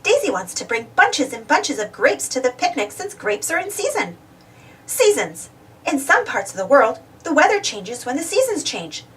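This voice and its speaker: A woman's voice: sits high, sing-song, normal speed, fairly bright tone, recorded from a distance, low-quality recording. A woman reading a children's storybook aloud, expressively and simply for young listeners. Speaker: storybook reader. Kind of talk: scripted read-aloud children's storybook narration.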